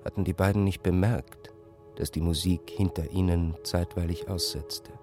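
Low-voiced man narrating literary prose. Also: fairly even intonation, normal speed, over music. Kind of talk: scripted literary narration for radio.

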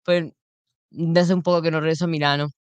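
Low-voiced woman, rambling chat. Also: little pitch movement, brisk, smooth, no echo, lo-fi sound. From Italy and Peru. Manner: rambling, laughs often, many fillers. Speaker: guest comedian. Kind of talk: casual chat. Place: radio studio.

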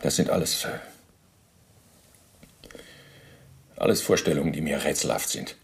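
An actor playing an evasive, indignant former SS officer under interrogation in a radio play, halting, emphatic and formally deferential as he justifies himself. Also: a bass voice, gravelly, a little hiss or hum. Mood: evasive denial. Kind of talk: docudrama radio play dialogue.

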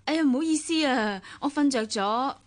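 Actress as a wistful, high-voiced Hong Kong woman. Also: normal speed, close to an everyday voice. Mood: surprised. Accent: Hong Kong Cantonese. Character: well-off, sociable, somewhat wistful woman narrating her romance. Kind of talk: Cantonese radio drama acting with narration.

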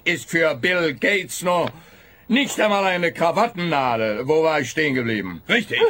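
An actor playing a fussy, rambling, pompous police officer in a comic detective radio play. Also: male voice, high voice, bright, forceful tone, exaggerated voice. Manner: fussy, digressive, self-amused.

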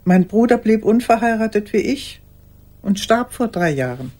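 An older actress playing a lonely, pleading old woman in a radio play monologue, halting and intense, with a husky voice. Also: medium pitch, animated, a little mellow, soft background music, lightly acted. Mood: grave, resigned.

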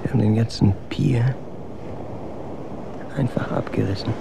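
Radio drama: brooding man, halting and repetitive, deep fry in the voice, windy shore behind. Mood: brooding, stunned. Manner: halting, repetitive, broken phrases. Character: brooding man recalling a demolished seaside pier. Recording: windy seashore noise behind. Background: surf and wind.

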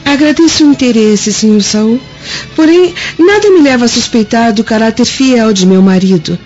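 An actress playing a dignified, trusting young Roman wife in a radio drama, speaking formally and earnestly. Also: female voice, on the high side, lively intonation, moderate pace, a little mellow, natural voice. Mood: dignified, firm. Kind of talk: dramatic radio play acting. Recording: dull lo-fi audio with music behind.

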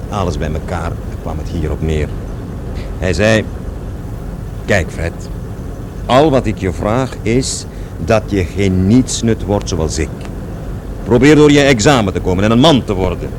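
A man's voice: deep voice, unhurried, pauses often, background noise. An actor in a radio play playing an aggrieved, rambling man who recalls his past in conversational, self-correcting speech. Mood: indignant. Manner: digressive, self-correcting, repeats words emphatically.

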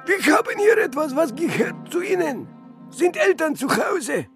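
An actor playing a bossy, gruff officer in a children's radio play, with an Eastern European accent and broken grammar. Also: extremely high pitch, very animated, normal speed, music in the background. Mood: gruff, officious.

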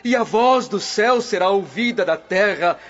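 Solemn ancient preacher, declaiming prophecy, radio drama sermon, highish voice, muffled recording. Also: male voice, animated, moderate pace, a bit bright. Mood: exhorting. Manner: oratorical, grave, declamatory. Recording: dull lo-fi radio drama, music behind.

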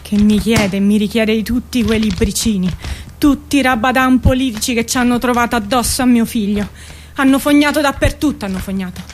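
A woman's voice: a little high, fairly even intonation, a little fast, a little hiss or hum, lightly acted. An actress playing a brash, aggrieved working-class mother in a theatrical radio drama, complaining and pleading in colloquial, insistent repetitions. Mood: aggrieved, bitter. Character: brash, aggrieved working-class Italian mother defending her arrested son.

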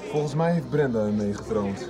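An actor playing a chatty friend over a late-night beer in a radio soap, casual, hesitant and musing. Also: male voice, mid-range voice, expressive, brisk, close to an everyday voice. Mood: musing, gossipy. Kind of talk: naturalistic radio soap acting. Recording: radio drama with music and crowd behind.

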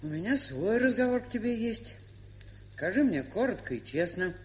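A gruff, blunt old aunt from a Soviet radio play, played by an actress. Her aged, husky voice swings widely in pitch as she scolds and grumbles in a folksy way, over audible hiss and hum. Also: very animated, normal speed, close to an everyday voice. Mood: probing. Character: gruff, stubborn, kind-hearted old aunt, plain-spoken and scolding.

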